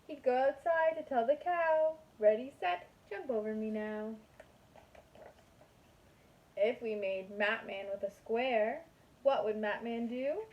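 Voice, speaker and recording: A woman speaks as a kindergarten teacher to young children. Her voice sits a little high and has a sing-song delivery suited to a picture book. The recording is somewhat dull, with faint noise behind her. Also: takes it slowly, long pauses.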